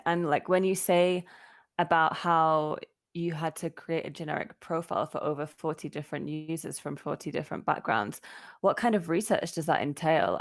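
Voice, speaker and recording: A woman's voice: deep voice, vocal fry. A woman interviewing a guest, asking long questions padded with fillers like "you know" and "kind of".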